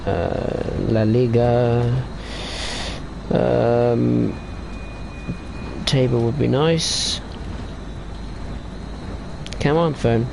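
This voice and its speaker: A man's voice: mid-range voice, fairly even intonation, extremely slow, gravelly, long pauses, background noise. A man casually chatting and rambling while streaming a train simulator game. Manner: chatty, rambling about football tables while driving. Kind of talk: casual gameplay commentary.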